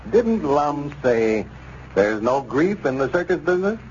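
A man's voice: fairly high pitch, big pitch swings, slow pace, darkish tone. A man announcing in a scripted 1930s radio style. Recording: muffled, noisy 1930s radio recording.

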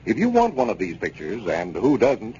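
An American man working as a radio announcer, giving a scripted pitch in a chatty, polished 1930s style. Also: huge pitch swings, normal speed, dark tone, husky, highs cut off. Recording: noisy, band-limited 1930s radio broadcast.

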